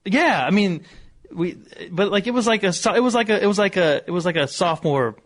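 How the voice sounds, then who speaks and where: Young American male radio guest, a bit high in pitch, casual storyteller, rambling and self-mocking. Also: lively intonation, brisk. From Missouri, United States. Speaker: sports columnist, radio guest.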